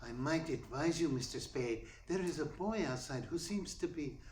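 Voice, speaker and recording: A man's voice: on the high side, lively intonation, moderate pace, a little mellow, close to an everyday voice. A man playing a polite, fussy yet menacing crook in a radio play, formal and precise, easily indignant. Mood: formal, smooth. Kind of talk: radio play acting in 1940s noir manner. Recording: roomy, echoey sound with faint noise.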